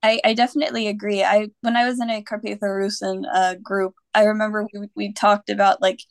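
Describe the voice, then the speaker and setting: A woman's voice: mid-range voice, little pitch movement, brisk, no echo. A woman from Pennsylvania, a podcast guest, speaking in a thoughtful, earnest way with many fillers. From Pennsylvania, USA. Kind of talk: casual podcast chat.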